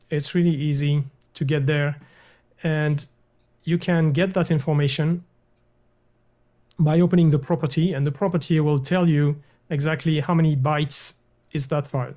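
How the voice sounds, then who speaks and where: Man hesitantly walking through a software demo. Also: on the high side, steady tone, measured pace. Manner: hesitant, many fillers and restarts. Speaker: guest software developer. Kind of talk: screen-share software demo.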